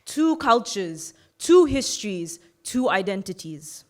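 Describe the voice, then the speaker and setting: A woman in her twenties from Fiji giving an earnest, measured personal speech in a competition. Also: mid-range voice, big pitch swings, a little mellow. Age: 20s. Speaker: youth orator.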